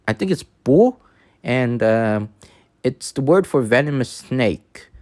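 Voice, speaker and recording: Slow, hesitant man with Vietnamese accent. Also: mid-range voice. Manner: hesitant, many fillers and restarts; thinking aloud while working. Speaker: streamer. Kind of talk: casual live-stream chat.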